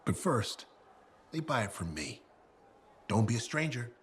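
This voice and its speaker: A man's voice: medium pitch, very animated, measured pace, a bit bright, a little hiss or hum. An actor playing a boastful male merchant who sells custom gear, speaking in colorful salesman patter. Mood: salesy. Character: boastful male weapon decal merchant, showman. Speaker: craftsman merchant. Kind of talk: video game dialogue.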